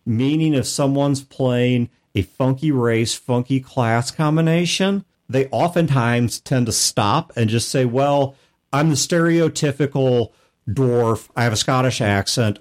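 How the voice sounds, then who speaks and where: A man's voice: medium pitch, unhurried. A man co-hosting a gaming talk show, laying out ideas step by step in casual chat. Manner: methodical, lays out ideas with examples. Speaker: podcast co-host.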